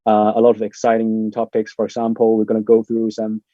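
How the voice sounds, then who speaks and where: A man's voice: on the low side, completely flat delivery, brisk, mellow, dry recording. A man hosting an online panel, introducing guests in a casual way with many fillers. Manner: casual, filler-heavy, upbeat. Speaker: host. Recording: dull lo-fi sound.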